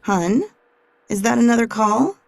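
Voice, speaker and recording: An actress playing a puzzled wife in a dark comedy audio drama, talking to her husband. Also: a little high, unhurried, creaky, no room sound.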